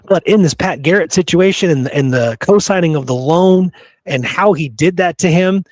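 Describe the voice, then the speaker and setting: A man's voice: on the high side, moderate pace, a little mellow, no room sound. Emphatic male podcast host, dry close sound. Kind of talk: casual history discussion.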